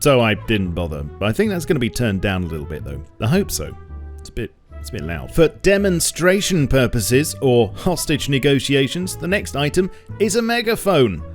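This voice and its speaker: A man narrates a video game news update in a scripted, presenter style. His pitch sits in the middle, and he slips in dry, wry asides. Music plays faintly behind his voice. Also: very animated, moderate pace. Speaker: video host showing new game items. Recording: music under the voice.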